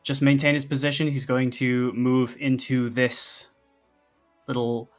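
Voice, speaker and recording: A man's voice: mid-range voice, little pitch movement, unhurried. A man narrating combat as game master of a tabletop roleplaying game, speaking casually. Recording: background music under the voice. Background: music.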